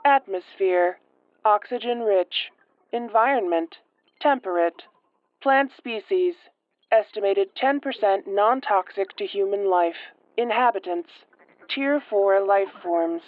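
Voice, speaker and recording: A woman's voice: mid-range voice, slow pace, no room sound. Woman as an emotionless, filtered ship computer. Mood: impassive. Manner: clipped, emotionless announcements. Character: flat, literal female shipboard computer. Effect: narrow phone-like computer filter. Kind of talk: science fiction audio roleplay. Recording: thin phone-like band, dry.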